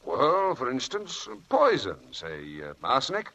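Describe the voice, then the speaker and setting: An actor playing a smooth, charming, faintly sinister husband in a vintage radio drama, breezy and coaxing. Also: male voice, medium pitch, huge pitch swings, unhurried, bright, forceful tone, full character voice. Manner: breezy, coaxing, fond of endearments. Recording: noisy old radio broadcast recording.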